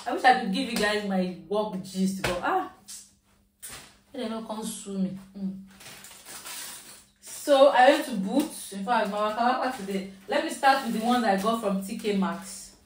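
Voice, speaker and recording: A woman's voice: medium pitch, a little slow, a little hiss or hum. A woman with a Yoruba Nigerian accent chatting casually to camera about her shopping haul at home, slipping in Pidgin phrases. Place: at home, indoors. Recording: roomy, mic at a distance.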